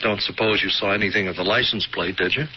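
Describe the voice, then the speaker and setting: Man doing a plodding polite trooper, lowish voice, lots of uh and well, muffled old radio sound. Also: fairly even intonation, close to an everyday voice. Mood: probing. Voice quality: halting, full of "uh" and "well". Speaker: radio drama actor. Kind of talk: 1970s radio drama acting. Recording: lo-fi old radio broadcast, faint hiss.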